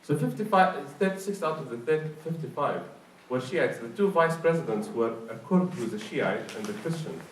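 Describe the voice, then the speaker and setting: A man from Iraq with an Iraqi accent gives an explanatory public talk in an emphatic, halting way, often repeating words. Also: fairly high pitch, animated. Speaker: speaker at a public forum. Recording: roomy, distant mic with faint noise.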